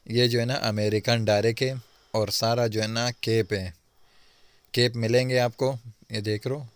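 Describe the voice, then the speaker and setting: A man with a Karachi Urdu accent shows off stock in a warehouse, talking into a handheld phone. His voice sits low and flat, and the sound is dry and close. His sales patter is insistent and repeats itself. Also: clean tone, no echo. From Pakistan. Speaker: market trader showing stock. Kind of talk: casual sales walkthrough. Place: warehouse among sacks of goods. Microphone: handheld phone camera, speaker off screen.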